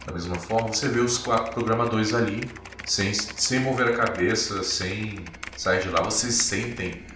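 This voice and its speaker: A Brazilian man working as game master, improvising tabletop RPG narration in a halting, descriptive way with frequent tag questions. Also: deep voice, pushed and bright, a little hiss or hum. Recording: very echoey room, distant, music behind. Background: music.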